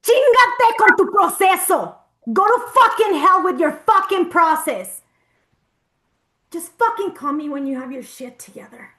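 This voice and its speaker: Woman playing a frustrated patient falling apart, really high voice, flips between spanish and english, drama read. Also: expressive, a little slow, pushed and bright, full character voice. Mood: furious, defiant. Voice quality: Spanish-English code-switching. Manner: confrontational, dramatic, emotional outbursts. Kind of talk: table read acting.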